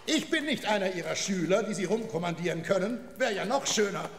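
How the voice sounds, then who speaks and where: A feisty, indignant old man from a children's radio play. His high, creaky voice swings wildly. It sounds in a noisy, echoing hall. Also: animated, fairly quick. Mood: indignant, agitated. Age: older. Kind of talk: German children's audio drama acting. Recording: echoey hall with noisy background.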